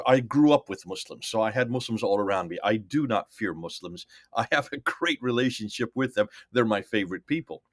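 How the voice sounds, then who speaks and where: A man's voice: on the low side, a bit bright, dry recording, clean studio sound. A man in his sixties from India, a missionary guest in an interview, telling his story in an emphatic, lecturing way. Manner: emphatic, lecturing, repeats numbers for effect. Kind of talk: testimony in an interview.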